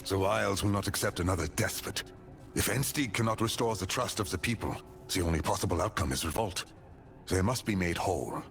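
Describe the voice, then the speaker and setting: A man's voice: low voice, fairly quick, gravelly, a bit bright. An actor playing a stern, outspoken man who defends common people, grave and accusatory in video game drama. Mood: grave, firm. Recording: music behind the voice.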